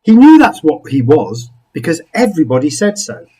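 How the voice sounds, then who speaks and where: A man, a rabbi, reading a picture book aloud to young children expressively, with dramatic pauses and small asides. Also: on the high side, big pitch swings, warm tone, slightly rough.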